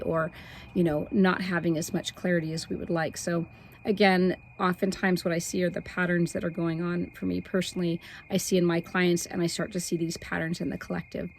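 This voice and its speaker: A middle-aged woman with a slightly hoarse voice, hosting a weekly spiritual forecast in a soft, meandering way that stretches key words. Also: fairly low pitch, a little hiss or hum, low-quality recording. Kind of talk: casual spiritual talk to camera.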